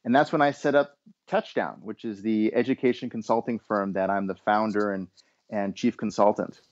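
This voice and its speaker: A middle-aged American man from Los Angeles, answering interview questions as a consultant in a measured way with hesitant restarts. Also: fairly low pitch, steady tone. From Los Angeles, USA. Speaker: guest, education consultant. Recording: dull lo-fi sound.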